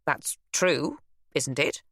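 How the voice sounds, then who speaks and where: A woman voicing a nosy, chatty older woman who runs a shop, insistent and quick to huff. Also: low-pitched, sing-song, fairly bright tone, slightly rough, no echo, clean studio sound, full character voice.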